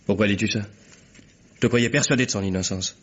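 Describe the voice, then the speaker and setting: Man playing a husky, guilt-ridden brooder. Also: on the low side, a little fast, lightly acted. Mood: brooding, insistent. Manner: hesitant, brooding. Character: troubled, guilt-ridden man searching for the truth. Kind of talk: French radio mystery drama acting. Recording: muffled old radio drama recording.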